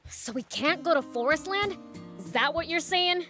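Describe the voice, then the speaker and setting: Brash, high-voiced young demon prince. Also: female voice, animated, moderate pace, sharp tone, soft background music. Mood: defiant, impatient. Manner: cocky, blunt, boisterous. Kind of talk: video game voice acting.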